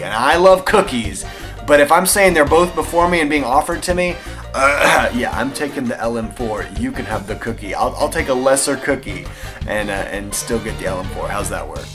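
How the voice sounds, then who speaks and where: A young man with a Texan drawl talks through viewer questions in a relaxed, enthusiastic vlog style. His voice sits at an ordinary middle pitch and is dotted with easy fillers. Faint music plays behind him. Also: normal speed. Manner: enthusiastic, chatty, frequent fillers. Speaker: airsoft channel host answering viewer questions. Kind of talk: casual Q&A vlog.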